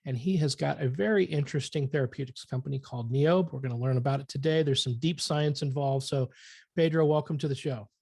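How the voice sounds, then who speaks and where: A man's voice: medium pitch, brisk, creaky, mellow, husky, no echo. A man hosting a podcast interview in a friendly, self-deprecating way. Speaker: podcast host.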